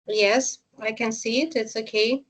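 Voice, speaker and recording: A woman's voice: fairly high pitch, fairly even intonation, a little slow. A woman taking part in an online webinar, giving brief, hesitant replies and questions over a video call. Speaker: webinar participant. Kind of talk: casual remarks on a call.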